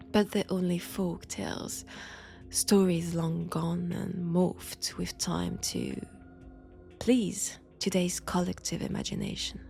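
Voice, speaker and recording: Woman playing a slow, seductive French-accented vampire. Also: on the low side, a little mellow. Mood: grave, confiding. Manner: slow, breathy delivery dipping into whispers. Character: ancient female vampire, seductive, menacing, motherly outcast. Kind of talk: intimate audio roleplay monologue.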